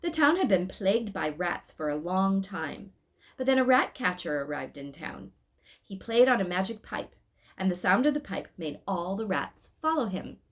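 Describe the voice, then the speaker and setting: A woman's voice: medium pitch, sing-song, normal speed. A woman hosting a read-aloud, narrating a storybook expressively with casual asides. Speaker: storybook reader, host.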